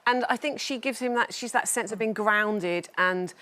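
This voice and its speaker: A woman's voice: medium pitch, moderate pace, pushed and bright. A British woman explaining her view in a considered way as an editor on a TV discussion panel. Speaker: newspaper editor, studio guest. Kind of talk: measured TV discussion. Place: television studio.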